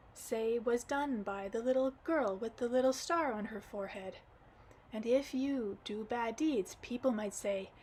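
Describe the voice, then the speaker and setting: A gentle great-grandfather from a storybook speaks calmly and kindly. A woman voices him in her own fairly high register. His words come slow and soothing. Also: slight background noise, lightly acted. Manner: calm, kindly, reassuring.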